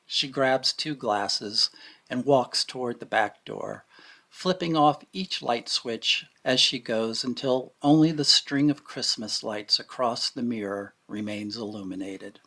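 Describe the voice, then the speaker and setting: A man reads scripted story narration for a radio drama in a measured, storytelling way. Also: medium pitch, pushed and bright. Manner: measured storytelling in present tense, acting out brief quotes.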